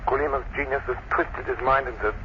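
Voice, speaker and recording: A man playing a shrewd, resolute gentleman sleuth, measured and earnest, in old radio drama style. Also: medium pitch, fairly even intonation, lightly acted. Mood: determined. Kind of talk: 1940s radio drama acting. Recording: dull, noisy old radio recording.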